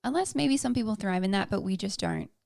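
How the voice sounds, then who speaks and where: Chatty young woman with a gravelly edge. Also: mid-range voice, big pitch swings, a little fast, darkish tone, no room sound, clean studio sound. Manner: bubbly, chatty, talks over partner. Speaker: podcast co-host. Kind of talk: casual chat.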